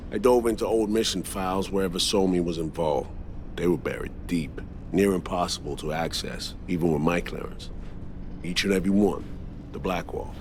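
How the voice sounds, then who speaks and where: Low-voiced, world-weary veteran spy, played by a man. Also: a little mellow, a little hiss or hum, close to an everyday voice. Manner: deliberate, measured, blunt. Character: weary, loyal veteran spy, composed and blunt. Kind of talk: video game cutscene acting.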